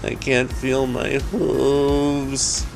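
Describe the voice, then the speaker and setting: A man's voice: mid-range voice, level tone, very slow, gravelly, soft background music, voice pushed up. Man voicing a sardonic ancient female demon seductress. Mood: hungover, irritable. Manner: sardonic, grumbling, matter-of-fact.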